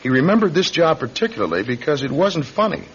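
An American man acting as a calm, persistent police detective, deliberate and explanatory, in a radio crime drama. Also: a little high. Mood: methodical. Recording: dull recording with faint noise.

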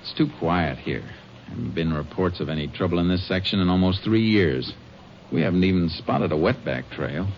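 A low-voiced Texas Ranger investigator, American, shrewd and matter-of-fact. He talks in terse deductions, in old western radio drama style, on a muffled, noisy vintage recording. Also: normal speed. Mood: calm, firm.

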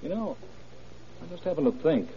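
An actor playing a keen, authoritative police detective with snappy slang in an old radio crime drama. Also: male voice, on the high side, big pitch swings, moderate pace. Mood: intrigued. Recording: muffled old radio recording.

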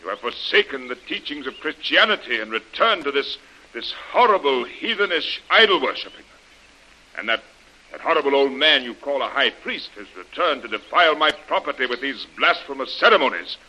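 An actor playing a stern, God-fearing older plantation master in 1930s radio style, declamatory and commanding. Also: male voice, medium pitch, very animated, moderate pace, bright, forceful tone, full character voice, rough recording. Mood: wrathful, accusing. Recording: old radio drama recording.

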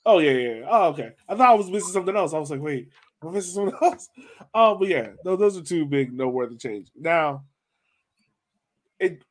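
Higher-voiced man hosting casual sports chat. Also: animated, fairly quick. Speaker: podcast host.

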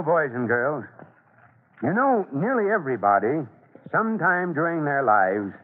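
A steady, duty-minded fire chief from an old radio serial. His voice is husky and higher than most men's, with lively, deliberate phrasing. The sound is thin and narrow, like an aged broadcast. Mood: instructive, earnest. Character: calm, authoritative fire chief investigating, duty-minded man. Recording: tinny, band-limited old radio recording.

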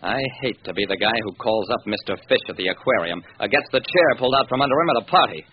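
An actor playing a hard-boiled, wry private detective in an old-time radio drama, with sardonic, self-mocking, clipped tough talk. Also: male voice, lowish voice, expressive, quick pace, close to an everyday voice. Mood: wry musing. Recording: muffled, hissy vintage radio recording.

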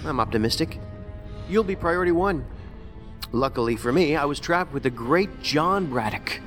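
An actor playing a calm, sardonic trapped man in a sci-fi audio drama, musing wordily with dry humour. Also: fairly high pitch, moderate pace. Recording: music under the voice.

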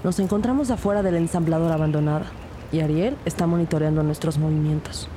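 A woman's voice: deep voice, fast, slight background noise, close to an everyday voice. An actress playing an anxious, determined police detective who narrates her case in a dramatic, suspenseful crime audio drama. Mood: tense, narrating. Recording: loud music behind the voice. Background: dramatic music.

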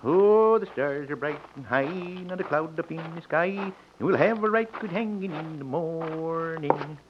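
An actor playing a folksy, callous small-town sheriff in an old-time radio western, with a rural Western American accent and repeated phrases. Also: male voice, fairly high pitch, unhurried, lightly acted. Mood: grumbling. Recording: noisy, muffled vintage radio recording.

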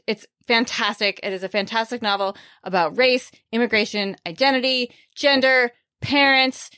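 A woman's voice: on the high side, bright, forceful tone, no room sound, clean studio sound. A woman hosting a book podcast in casual chat, bubbly and talkative, laughing often. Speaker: podcast host.

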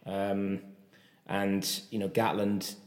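A man's voice: fairly low pitch, level tone, slow pace, darkish tone, roomy sound. A man co-hosting a casual rugby chat, chatty and ending his points with tag questions. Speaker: podcast co-host.

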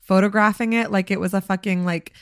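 Woman, podcast co-host, slightly hoarse, casual, swears often, dry close mic. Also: medium pitch, fairly even intonation, a little fast, no room sound. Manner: chatty, swears freely, lots of likes and ums. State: a little hoarse. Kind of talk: casual chat.

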